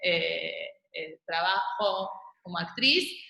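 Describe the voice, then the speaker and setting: A woman's voice: lowish voice, slow, creaky, sharp tone, reverberant. A woman, chatting on a video call as an interview guest, easygoing and full of small hesitations. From Argentina. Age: 40s. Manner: chatty, laughs easily, many small hesitations. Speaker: guest, Montessori school founder.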